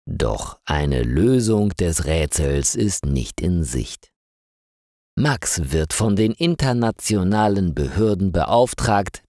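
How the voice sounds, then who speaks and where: A man with a very low voice reads a story slowly and clearly for learners. His pitch moves a lot, rising and dropping to shape each sentence. The sound is close and dry, with no room around it. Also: a little mellow, no room sound. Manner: slow, clearly articulated reading with falling sentence ends. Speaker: narrator of German learning stories. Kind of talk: slow scripted story narration for learners.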